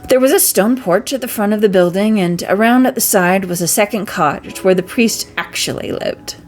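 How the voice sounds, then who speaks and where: A woman's voice: mid-range voice, normal speed. A woman reading a fantasy story aloud as an amateur, sometimes stumbling over words. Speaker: amateur reader.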